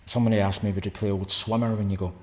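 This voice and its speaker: A man in his fifties with a Scottish accent from Paisley, chatting casually between songs at a concert and telling stories in a brisk, rambling way. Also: on the low side, flat delivery, dark tone. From Scotland. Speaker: singer-songwriter performing a concert. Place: church. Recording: long church reverb with guitar.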